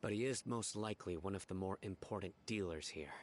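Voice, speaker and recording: An actor playing a stern young lawman in an anime video game, calm, measured and menacing. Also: sits low, a little hiss or hum, close to an everyday voice. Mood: matter-of-fact. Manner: deadpan, measured, authoritative.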